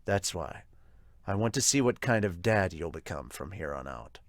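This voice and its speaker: A man voicing a guarded, resentful teenage son in a subdued, measured anime fan dub style. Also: low voice, very animated, no room sound, pitched below the performer's own voice. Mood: cool, resentful.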